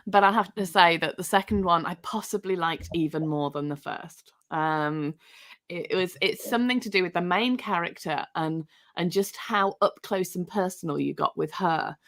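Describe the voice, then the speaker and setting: A woman's voice: on the low side, normal speed, fairly bright tone. A woman co-hosting an online discussion, talking casually and earnestly, effusive and repeating phrases for emphasis. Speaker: co-host. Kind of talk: casual, appreciative book discussion. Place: live online video call.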